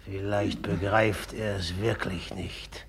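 An actor playing a brooding, bitter, obsessively jealous suitor in a German radio play, speaking haltingly with a rough edge. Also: male voice, sits low, steady tone, heavily acted. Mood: bitter, agitated. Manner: halting and brooding, breaking into taunting outbursts.

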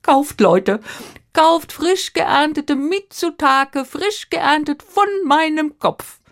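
A woman's voice: sits high, animated, slow, pitched above the performer's own voice, full character voice. A woman voicing a simple, contented old man in a folktale, musing to himself or calling out like a market crier. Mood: excited hawking. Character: simple, contented old Japanese man, resigned yet hopeful.

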